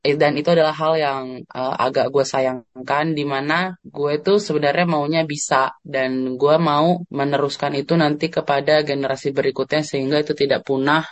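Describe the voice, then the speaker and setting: An Indonesian man talks casually as a podcast guest. His voice sits a little high for a man and sounds dry and close, with no room around it. He speaks in a loose, slangy way, pausing on fillers. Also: no echo. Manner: relaxed, slangy, with hesitant fillers. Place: living abroad, on a call.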